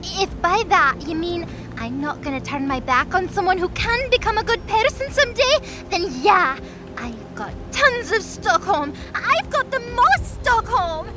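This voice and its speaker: Woman voicing a very high, earnest winged girl. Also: big pitch swings, a little slow, over loud music, heavily acted. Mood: defiant, pleading. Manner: impassioned, naive, argumentative. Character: earnest, stubborn winged young girl defending her friend. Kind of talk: audio drama character acting.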